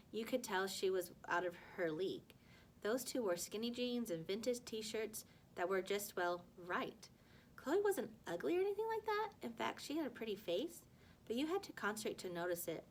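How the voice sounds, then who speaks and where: A woman reading a children's novel aloud like a teacher to a class, with animated dialogue and the odd stumble. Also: medium pitch, slight background noise. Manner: animated dialogue, stumbles over words and corrects herself.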